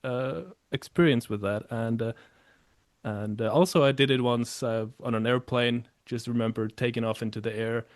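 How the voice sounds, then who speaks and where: A man from Iceland, hosting a casual interview as relaxed conversation. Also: mid-range voice, normal speed, dry recording, studio quality. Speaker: podcast host.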